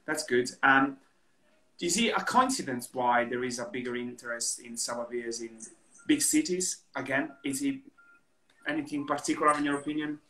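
A man in his thirties hosts over a laptop webcam at home, asking questions in a hesitant, filler-laden way. His voice has a bright, forward edge, and the room echoes around it. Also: medium pitch, moderate pace. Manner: hesitant questions with fillers, non-native phrasing. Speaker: host. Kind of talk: casual video call interview. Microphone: laptop webcam on video call. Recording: roomy webcam audio.

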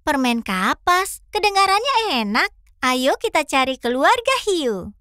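A woman's voice: high-pitched, sing-song, unhurried, bright, forceful tone, exaggerated voice. A woman voicing a cheerful, curious little fox who hosts a children's cartoon with enthusiasm and encouragement. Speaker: host guiding young viewers. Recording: children's music behind.

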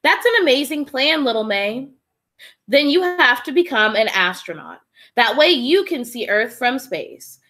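A woman's voice: sits high, animated, measured pace, a bit bright. A woman voicing a kind, encouraging mother in a storybook, reassuring and emphatic.

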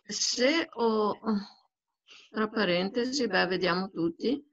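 A woman's voice: mid-range voice, slow, fairly bright tone. A woman student whose first language is Italian, hesitantly reading letters aloud and asking puzzled questions in an online class. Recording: muffled video call audio.

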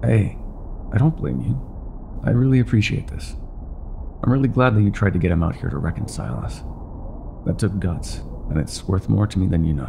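Guy doing an older ex-mob boyfriend for asmr, super gravelly low voice, soft n affectionate, bit of background noise. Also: brisk, long pauses. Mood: tender, reassuring. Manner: soft, intimate, affectionate, hesitant at times. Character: older ex-mafia boyfriend, tender, teasing, vulnerable bar owner. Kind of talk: intimate boyfriend roleplay ASMR.

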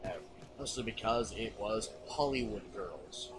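A young American man livestreaming a game, chatting in an expressive, sweary, teasing way. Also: fairly low pitch, unhurried, over music. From California, USA. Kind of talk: casual banter while streaming a game. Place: indoor room, seated in armchair.

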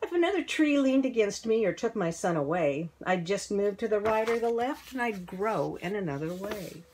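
Lady reading as a gentle ancient tree in a kids story, wise n preachy, slow emphatic words, slight noise. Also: medium pitch, animated, voice pushed up, close to an everyday voice, rough recording. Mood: earnest, dismayed. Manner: emphatic, deliberate, moralizing, with rhetorical questions.

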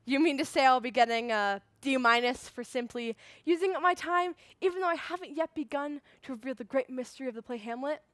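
Young woman as pompous, high-voiced indignant schoolboy. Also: a little fast, hardly any pauses, a bit bright, dry recording. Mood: grandiose, appalled. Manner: pompous, theatrical, emphatic. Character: indignant, bluffing schoolboy giving an unprepared oral report. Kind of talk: competition stage monologue.

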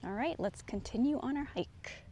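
A woman's voice: a little high, expressive, normal speed, dark tone. A middle-aged woman vlogger casually narrating her walk along an outdoor forest trail, pointing things out as she goes. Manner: easygoing, descriptive, points things out as she walks. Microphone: handheld camera, often at a distance. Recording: faint outdoor noise.

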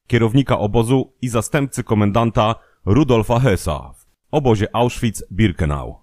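A man's voice: medium pitch, a little mellow, no room sound. Man narrating, dry studio sound. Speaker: narrator of a true-crime history audio programme. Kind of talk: scripted narration.

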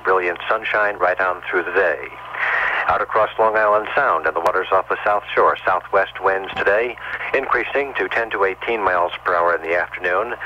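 A man delivering a scripted weather forecast as a radio meteorologist, in clipped announcer phrases. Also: sits low. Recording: dull, noisy 1970s radio recording.

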